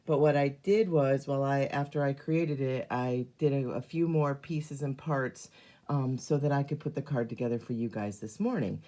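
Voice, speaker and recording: A hoarse middle-aged woman chatting casually through a live card-making demonstration, filling pauses with ums and greeting viewers as they join. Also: very deep voice, mellow.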